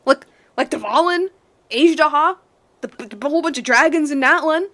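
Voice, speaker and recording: Female game streamer, fairly high voice, casual and animated, slangy reactions with repeated words. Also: moderate pace.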